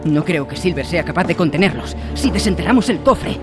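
A man's voice: on the high side, expressive, a little fast, music in the background, close to an everyday voice. An actor playing an earnest, anxious young cabin boy in a Spanish radio drama, respectful and urgent.

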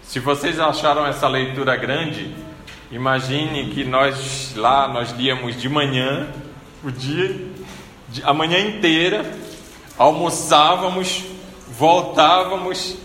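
Preacher, male, bright forceful voice, chatty storytelling sermon, long church reverb. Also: on the high side, steady tone, slow, a little hiss or hum. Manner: conversational storytelling, frequent rhetorical "né?". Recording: echoey church hall with faint ambience.

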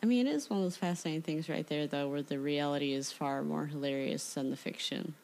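An American woman with a very low pitch and plenty of vocal fry. She chats casually and has a wry, joking way of putting things. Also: quick pace, darkish tone. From Illinois, United States. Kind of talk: casual chat.

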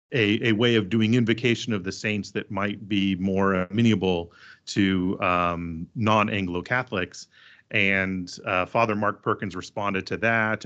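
A man's voice: low voice, a bit bright, lo-fi sound. A man who is a priest, speaking in a thoughtful podcast discussion and explaining things at length.

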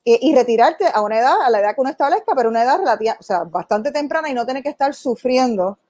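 A woman's voice: sits high, animated, very fast, mellow. A woman hosting a conversational interview, informal and explanatory, often rephrasing her questions as she goes. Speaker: interviewer, host.

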